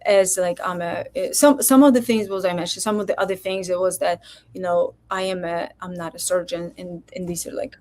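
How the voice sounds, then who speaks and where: A woman's voice: fairly low pitch, fairly even intonation, a little fast, a little mellow. A middle-aged woman from Poland, a physician guest telling her story in a candid, reflective way with many hesitations. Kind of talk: candid personal interview talk.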